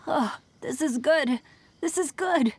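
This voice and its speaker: Game casting: emotional young adventurer, high pitch, slow tender delivery. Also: female voice, a little hiss or hum. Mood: moved. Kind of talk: anime-style video game voice acting.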